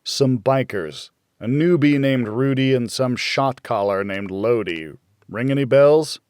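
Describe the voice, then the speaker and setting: A man voicing a terse, deadpan, evasive veteran in a noir audiobook. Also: medium pitch, a little slow, clear, resonant tone, no echo, studio quality, close to an everyday voice. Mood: probing. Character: hardboiled, evasive male veteran, drug user, terse.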